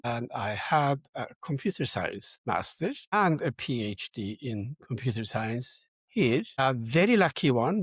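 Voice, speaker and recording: An older man talks as an interview guest, explaining and digressing as he goes. His voice is a little rough and husky, and the sound is close and dry. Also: medium pitch, no echo. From Turkey. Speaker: guest, computer science educator. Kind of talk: interview self-introduction, conversational.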